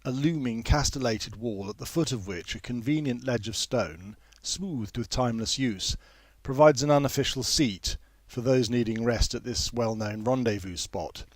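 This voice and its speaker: A man narrating a literary story in a measured, formal manner with precise phrasing. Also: medium pitch, dry recording. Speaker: author reading own novel.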